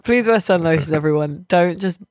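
A woman's voice: on the low side, brisk, a little mellow, no room sound, clean studio sound. A British woman hosting a podcast in a casual, chatty way, with a jokey, teasing manner. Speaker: podcast host and games journalist.

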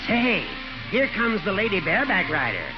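A man's voice: high-pitched, big pitch swings. An actor playing a teasing, folksy old man who draws out his words in 1940s radio comedy style. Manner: needling, folksy, drawn-out words. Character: teasing, chuckling old-goat crony in high voice. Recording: old muffled radio broadcast with music.

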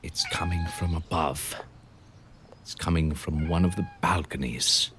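A man's voice: low-pitched, unhurried, slight background noise. Man voicing a brave little hamster leader. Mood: earnest. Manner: earnest, rallying, calm and firm.

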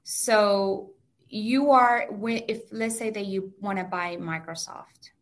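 A woman's voice: medium pitch, fairly even intonation, slow. Woman with a heavy Mexican accent. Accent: Mexican Spanish. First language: Spanish. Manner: patient, explains step by step with examples. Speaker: financial advisor guest. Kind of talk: explanatory interview answers.

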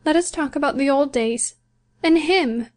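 A gentle young bride with a high, soft voice, patient and resigned, pleading quietly in a storybook reading, as a woman reader puts her on. She sounds a little unlike the reader's own voice. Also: normal speed, natural voice. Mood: soft, wistful. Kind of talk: audiobook character voice.

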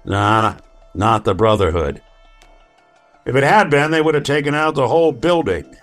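An actor playing a world-weary, hard-boiled male detective in an old-time radio drama, speaking tersely and deliberately. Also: medium pitch, lively intonation, fairly bright tone, faint music behind, lightly acted. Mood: terse, wry.

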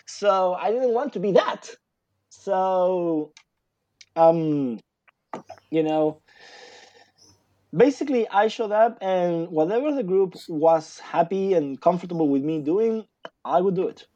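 A man's voice: high-pitched, lively intonation, takes it slowly, long pauses, dark tone, no echo, muffled recording. A man from Argentina, a guest in a casual interview, talking in a rambling way with many fillers and restarts. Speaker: guest author, sociologist.

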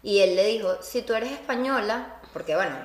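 A woman's voice: medium pitch, lively intonation, fairly quick, reverberant. A Venezuelan woman hosting a casual group chat, telling stories and quoting people as she goes. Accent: Venezuelan Spanish. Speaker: podcast host.

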